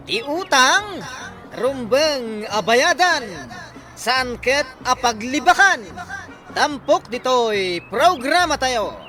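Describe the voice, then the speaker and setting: A radio drama narrator reading a scripted closing and credits in a measured way. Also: medium pitch, pushed and bright. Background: music.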